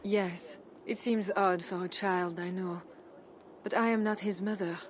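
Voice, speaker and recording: A woman playing a dignified, guarded and pious medieval Frankish queen in measured, formal video game dialogue. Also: medium pitch, steady tone, slight background noise, natural voice. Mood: earnest, resolute. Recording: music behind the voice.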